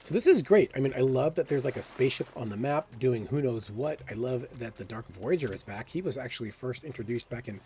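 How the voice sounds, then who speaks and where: A man's voice: mid-range voice, expressive, brisk, few pauses, dark tone, a little hiss or hum. A man hosting a gaming video with casual, chatty commentary, thinking aloud and enthusiastic about the game. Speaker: gaming video creator and host.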